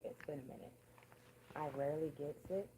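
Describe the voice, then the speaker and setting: Hoarse low-voiced woman, casual livestream talk. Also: brisk, warm tone, a little hiss or hum, poor audio. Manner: casual, profane, laid-back. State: hoarse, lost voice. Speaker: livestream host.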